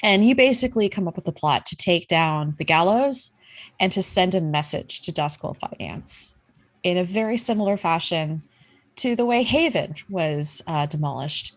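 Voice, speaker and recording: A woman's voice: on the low side, lively intonation, moderate pace. A woman game master improvising narration for a tabletop game in a casual, conversational way.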